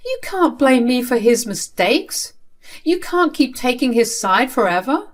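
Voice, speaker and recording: A woman's voice: lowish voice, animated, moderate pace, a bit bright. A wronged teenage daughter in a family drama story, acted by a woman narrator. She is exasperated and indignant, defending herself and pleading to be treated fairly. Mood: distressed, pleading.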